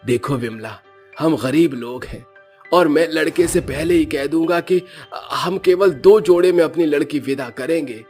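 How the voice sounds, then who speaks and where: A man voicing a blind, poor, elderly father who speaks in a calm, reassuring way. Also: on the high side, normal speed, soft background music, close to an everyday voice.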